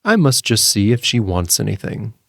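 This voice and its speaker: A man's voice: lowish voice, sing-song, moderate pace, vocal fry, dry recording, studio quality, exaggerated voice. A man playing a resolute storybook huntsman who speaks in a blunt, declaring way. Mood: curious.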